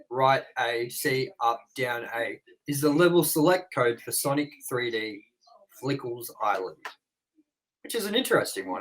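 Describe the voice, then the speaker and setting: A man's voice: medium pitch, sharp tone, low-quality recording. A man co-hosting a movie review show, reading trivia and goofs from his notes in a casual way and swearing offhandedly.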